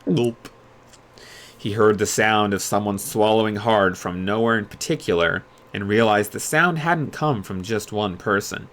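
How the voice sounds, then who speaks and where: A man reading a story aloud as a streamer, in an expressive storybook narration style. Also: fairly low pitch, a little slow.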